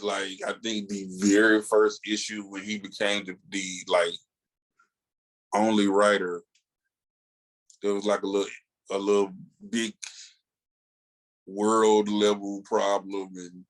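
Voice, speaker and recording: A man's voice: lowish voice, flat delivery, slow, pauses often, low-quality recording. An American man co-hosting a podcast in casual fan chat, telling stories enthusiastically with slangy asides. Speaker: comics podcast co-host.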